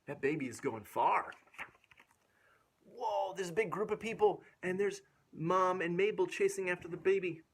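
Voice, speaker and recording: A man with a fairly high, slightly husky voice reads aloud as a children's storyteller. He delivers rhyming picture-book text playfully and expressively, leaning dramatically on the sounds of the words for his young listeners. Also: normal speed.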